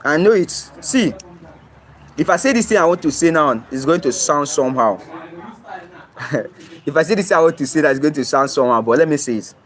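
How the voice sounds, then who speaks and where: A man's voice: on the high side, lively intonation, brisk, long pauses. A young Nigerian man with a Nigerian English accent, livestreaming casually from his phone outdoors near his home, reading viewer comments aloud and answering them. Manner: reads viewer comments aloud and answers them, often asks "you understand?". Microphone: phone camera, flipped to show surroundings.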